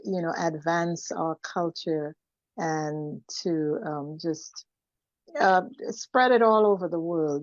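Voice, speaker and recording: Low-voiced older Trinidadian woman, reminiscing. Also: a little mellow, no room sound, lo-fi sound. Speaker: singer, interview guest. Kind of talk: conversational storytelling about own life.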